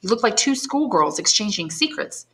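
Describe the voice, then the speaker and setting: A woman's voice: medium pitch, sing-song, moderate pace, a bit bright, voice pushed up. A woman reading aloud and voicing a devoted young man, earnest and reassuring, close to her own voice. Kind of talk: audiobook character voice.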